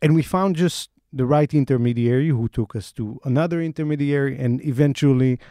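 A man's voice: mid-range voice, a little fast, mellow, no room sound, studio quality. A man with an Israeli accent, an investigative journalist telling the story of an undercover investigation in an interview, often restarting and repeating words as he talks.

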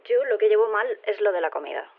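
An actress playing a polite body-swapped caller, chatty and rambling, through a telephone filter. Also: female voice, mid-range voice, lively intonation, fast, gravelly. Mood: chatty, earnest. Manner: chatty, rambling, self-correcting. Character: chatty, polite man living inside a woman's body. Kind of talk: naturalistic phone interview in audio drama. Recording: narrow, tinny phone line.

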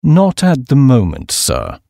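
A man voicing an unflappable, deferential English valet, with a formal, measured and deadpan British delivery. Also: medium pitch, vocal fry, no room sound, studio quality, full character voice. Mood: deferential, composed.

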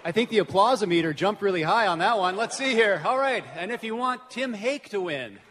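High-pitched man hosting in a noisy, echoing hall. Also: expressive, fairly quick, crowd noise. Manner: chatty, rambling asides. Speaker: event host introducing a cast. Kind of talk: casual off-the-cuff stage introductions. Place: large church hall, on stage. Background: audience and room noise.

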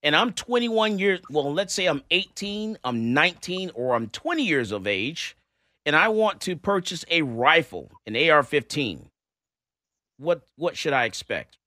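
Bright animated radio host man. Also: a little high, a little slow, no echo, studio quality. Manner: animated, repeats himself when setting up questions. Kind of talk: talk radio hosting.

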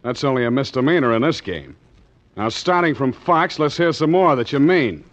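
An actor playing a tough, suspicious ship's skipper in 1940s radio drama, clipped and sardonic, on a muffled old recording. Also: male voice, mid-range voice, animated, moderate pace, natural voice. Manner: clipped, hard-boiled, sardonic. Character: tough, suspicious sea skipper out for answers, hard-boiled hero.

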